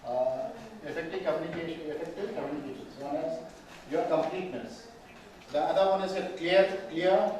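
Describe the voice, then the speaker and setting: A man's voice: fairly high pitch, darkish tone, recorded from a distance. Man lecturing in an echoey hall. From Tamil Nadu, India. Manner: explanatory, asks the room questions often. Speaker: mediation trainer. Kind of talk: classroom lecture. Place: large echoey training hall. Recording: very echoey hall, speaker off mic, faint room hum.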